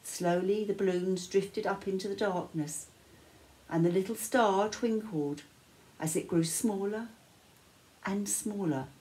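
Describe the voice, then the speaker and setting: An older woman reading a children's bedtime story aloud with measured, dramatic phrasing and pauses. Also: medium pitch, dark tone, poor audio. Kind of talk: expressive picture-book read-aloud. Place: small living room, indoors.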